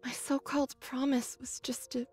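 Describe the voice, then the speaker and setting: An actress playing an earnest, scholarly young android researcher in a video game, speaking in a measured, precise way. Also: female voice, high voice, flat delivery, a little mellow, breathy edge. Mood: regretful, resolute. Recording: dry studio voice, faint game music.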